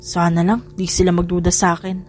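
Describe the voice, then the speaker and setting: A woman's voice: lowish voice, level tone, brisk, darkish tone, voice pushed down, natural voice. A woman voicing a nervous, naive young male heir with an anxious, questioning manner in an audiobook drama. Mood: worried. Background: music.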